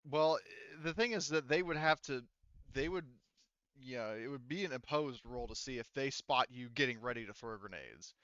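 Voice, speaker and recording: A man with a very clear, smooth voice referees a tabletop game. He works through rulings aloud in a hesitant, casual way. The sound is dry and slightly dull. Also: medium pitch, moderate pace, a bit bright, no echo. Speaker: game master.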